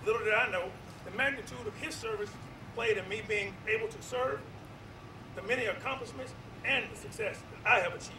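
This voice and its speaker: High-voiced man giving an earnest formal tribute. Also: a little fast. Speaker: Marine officer giving a tribute speech. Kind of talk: formal ceremonial tribute speech. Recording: distant echoey PA with traffic noise.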